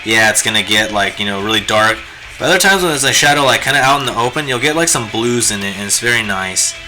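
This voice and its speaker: A hoarse, raspy man chatting casually and rambling with lots of fillers while he draws on a live stream. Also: on the low side, lively intonation, fast talker, poor audio. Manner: laid-back, rambling, many fillers. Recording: music playing behind the voice. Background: guitar music.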